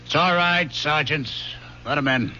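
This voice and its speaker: An actor playing a firm, dry, world-weary police lieutenant in a radio drama. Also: male voice, on the high side, measured pace, natural voice. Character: tough, fatherly middle-aged male police lieutenant. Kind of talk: 1970s radio drama acting. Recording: dull old radio broadcast with faint hiss.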